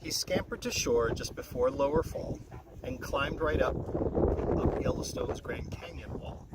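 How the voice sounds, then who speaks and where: A man reading a rhyming children's story aloud in an expressive, singsong way. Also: medium pitch, unhurried. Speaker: storybook reader. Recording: very noisy, windy, distant-mic recording.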